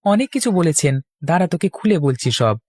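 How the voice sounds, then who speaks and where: A man's voice: fairly high pitch, very animated, fast, a little mellow, slightly rough, dry recording, studio quality. A man voicing a resolute, scheming young village man in a cartoon. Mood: determined. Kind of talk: Bengali cartoon voice acting.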